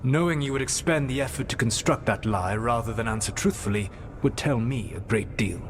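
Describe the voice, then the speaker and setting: A man playing an ancient elven trickster god in a fantasy game, composed, formal and deliberate. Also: soft background music, natural voice. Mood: calculating. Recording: rumbling ambient noise behind.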